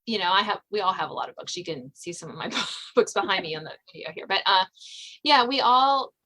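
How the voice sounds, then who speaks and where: A woman's voice: medium pitch, animated, fairly quick, pushed and bright, low-quality recording. An American woman hosting a podcast, chatting casually with a guest as a fellow writer. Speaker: podcast host and novelist. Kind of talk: casual interview chat.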